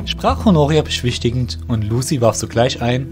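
A man's voice: mid-range voice, moderate pace. Male audio drama narrator over music. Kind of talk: scripted storybook narration. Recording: music behind the voice.

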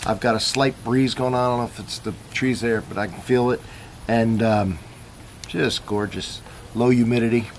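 A man's voice: mid-range voice, fairly even intonation, moderate pace, slight background noise. A man casually reviewing coffee in his backyard, talking in an easygoing, rambling way as he thinks aloud. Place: backyard outdoors.

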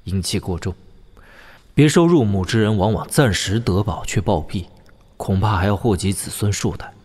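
A man's voice: fairly low pitch, normal speed, a little mellow, husky. An actor playing a shrewd, wry young nobleman swordsman in a wuxia audio drama, musing with playful interjections. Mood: pondering.

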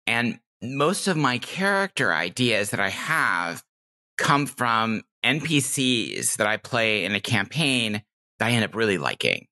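A woman's voice: very deep voice, big pitch swings, a little slow, bright, forceful tone. A middle-aged woman chatting casually and enthusiastically as a podcast guest, telling anecdotes. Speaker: podcast guest, game master.

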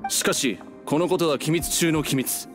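A man's voice: a little high, normal speed, close to an everyday voice. A man voicing a suspicious, grudging masked guard in a Japanese video game, with a curt manner. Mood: wary. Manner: curt, gruff wording, suspicious. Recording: game music behind the voice.

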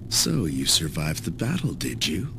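An actor playing a sinister, scheming demon lord in a dubbed anime, with a smug, understated menace. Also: male voice, very deep voice, huge pitch swings, unhurried, creaky, background noise, exaggerated voice.

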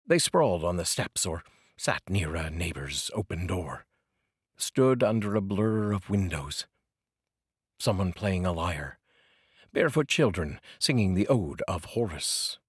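A middle-aged man reads poetry with deliberate, dramatic phrasing. His voice is very low and husky, and his pitch moves in lively sweeps. He is close on a studio microphone in a dry space. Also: dry recording. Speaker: poetry reader, channel host. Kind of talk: expressive poetry reading. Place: small curtained home studio, indoors. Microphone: close studio microphone on boom arm with pop filter.

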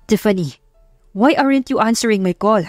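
A woman's voice: medium pitch, normal speed, darkish tone, dry recording, clean studio sound, faint music behind, lightly acted. Woman acting a confrontational young businessman. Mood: tense, angry. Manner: confrontational, mixes in English. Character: wealthy young businessman, suspicious, demanding boyfriend. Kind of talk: Tagalog audio drama acting.

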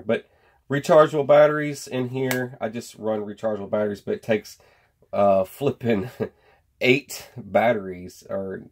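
A man in his thirties with a low voice and plenty of creak. He talks informally, like someone showing gear at his own workbench, wandering from point to point in a folksy, unscripted way. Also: darkish tone. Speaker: host of a gear review channel. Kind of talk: casual product unboxing and review chat. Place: indoor home workshop.

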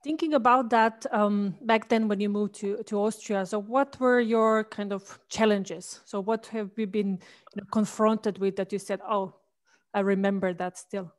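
A woman's voice: medium pitch, fairly even intonation, normal speed. A woman in her thirties hosting an interview over a video call on a headset, friendly and asking questions. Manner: friendly, asks questions with short backchannels. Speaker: host. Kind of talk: interview host.

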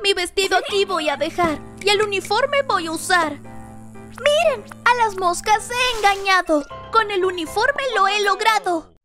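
A very high, childlike cartoon lion cub, excitable and singsong. The pitch swoops widely through rhymed lines, with music playing behind. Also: female voice, a little slow. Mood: excited. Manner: exclamatory, singsong.

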